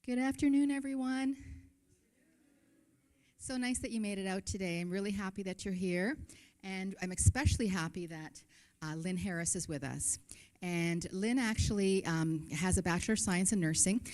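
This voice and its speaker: A woman with a mellow, warm-toned voice hosting an afternoon seminar. She introduces the speaker and announces the program in a friendly, chatty manner, now and then hesitating with an um or uh. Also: mid-range voice, normal speed. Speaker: event host.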